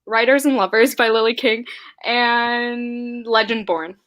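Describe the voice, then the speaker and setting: A woman's voice: a little high, fairly even intonation, unhurried, fairly bright tone. A young woman hosting a casual live-stream book chat, giggly and scattered, reading viewer comments aloud. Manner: giggly, scattered, laughs often.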